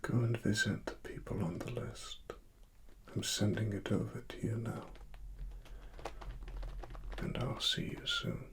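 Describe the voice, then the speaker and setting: A man with a British accent speaking softly in an ASMR roleplay as a secretive cyberpunk investigator, breathy and deliberate, often near a whisper. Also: medium pitch, huge pitch swings, a little hiss or hum. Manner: slow, deliberate, hushed. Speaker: ASMR performer.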